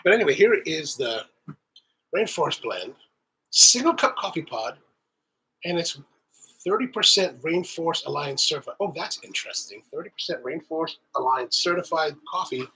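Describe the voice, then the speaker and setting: A man's voice: on the high side, animated, moderate pace, bright, forceful tone, a little hiss or hum, low-quality recording. A middle-aged man hosting a coffee channel, chatting casually while stuffy with the sniffles and sounding a bit nasal, rambling with many restarts. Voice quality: slightly nasal. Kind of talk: casual tasting talk to camera.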